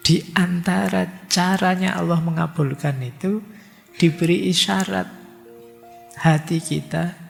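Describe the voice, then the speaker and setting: A man's voice: high-pitched, gravelly, in a large hall. A man giving a chatty religious lecture, slipping in words and acting out people's worried thoughts.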